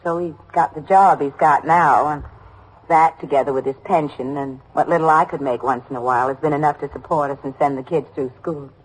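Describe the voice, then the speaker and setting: A middle-aged American housewife, with a husky, slightly rough-edged voice. She is homely and chatty, rambling along and anxious to please, in the manner of an old radio drama. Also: sits low, steady tone, a little fast, rough recording. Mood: matter-of-fact reminiscing.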